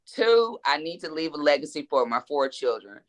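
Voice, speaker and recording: A woman's voice: low voice, very animated, moderate pace. A woman in her forties, a guest author in a casual interview, speaking reflectively and with emphasis, often repeating words as she thinks. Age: 40s.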